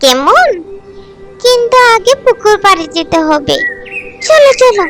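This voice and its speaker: A sweet, lonely little girl in a children's fairy-tale cartoon. Her voice is extremely high and childlike, with slow, plaintive, obedient delivery. Loud music runs behind her. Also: very animated, full character voice. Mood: tender, playful.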